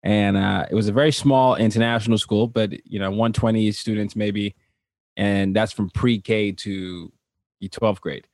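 A man from Nigeria, raised across several countries, speaking as an interview guest in casual, personal storytelling with an animated manner. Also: on the low side, unhurried, no room sound, clean studio sound. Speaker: interview guest, cultural translator and consultant.

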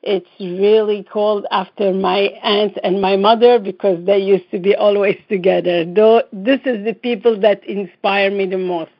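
A woman from the Middle East talks from home over a remote call, her English non-native and chatty, with frequent light laughter. The audio is slightly muffled and lo-fi, and her pitch sits in a middle range. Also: steady tone, moderate pace, hardly any pauses. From Middle East, born in Lebanon, raised partly in Israel. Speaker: fashion designer and brand owner, guest. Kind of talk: casual interview chat.

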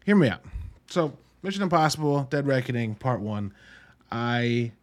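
Guy podcast host chatting thru a movie review, kinda wry, medium pitch, throws in emphatic asides. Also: expressive, moderate pace. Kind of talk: casual solo movie review.

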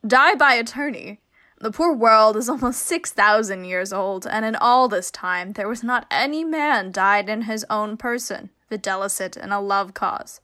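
Woman playing a bright-voiced, witty young heroine. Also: medium pitch. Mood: playful, teasing. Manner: teasing, brisk, mock-stern. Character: witty, commanding young woman, playfully testing her suitor. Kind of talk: radio play Shakespeare comedy acting.

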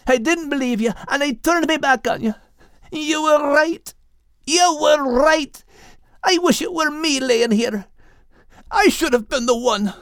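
Grieving male animal, storybook, very high voice, anguished, pleading. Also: measured pace, fairly bright tone, dry recording, clean studio sound. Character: grieving male animal, loyal to his friend.

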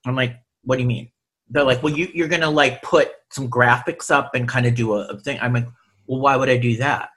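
Bright, slightly husky male singer guest. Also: medium pitch, fairly even intonation, fairly quick. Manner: earnest, reflective, repeats himself while he thinks. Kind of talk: casual conversation.

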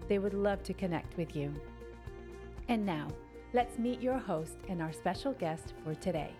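A woman announcer reading a scripted promo for the show in a smooth, even delivery. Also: medium pitch, measured pace. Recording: voice over a music bed.

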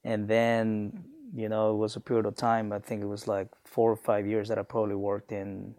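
Reflective man from Honduras, gravelly. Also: on the low side, completely flat delivery, moderate pace, a little mellow, dry recording. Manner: reflective, many fillers and restarts. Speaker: guest, business alumnus. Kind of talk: conversational interview.

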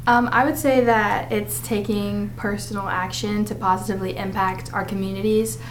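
Teen girl, pretty flat even delivery, echoey room. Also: medium pitch, moderate pace. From Dominican Republic and the US. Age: teens. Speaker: student guest. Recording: echoey room, faint noise.

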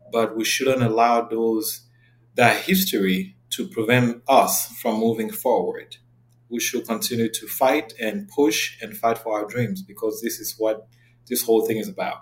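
A man's voice: on the low side, poor audio. A man in his thirties from Cameroon, a podcast guest talking earnestly and reflectively in a casual interview. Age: 30s.

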